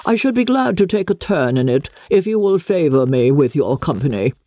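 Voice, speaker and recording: A woman's voice: low voice, big pitch swings, normal speed, warm tone, no echo. A woman voicing a haughty older aristocratic lady in a storybook reading, domineering and indignant, with pompous, commanding emphasis. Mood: imperious.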